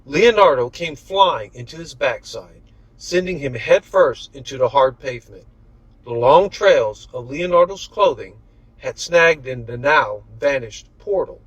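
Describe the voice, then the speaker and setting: A man's voice: mid-range voice, animated, measured pace, fairly bright tone, no room sound, poor audio. A man reading his own novel aloud as scripted audiobook narration.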